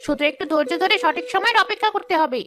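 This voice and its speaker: Casting: actress for greedy female river spirit in animated folklore, very high voice, rapid, plotting delivery. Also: music in the background. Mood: smug, musing. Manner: scheming, talking to herself. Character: greedy, sly female river witch-ghost of Bengali folklore. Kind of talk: animated folk tale character acting.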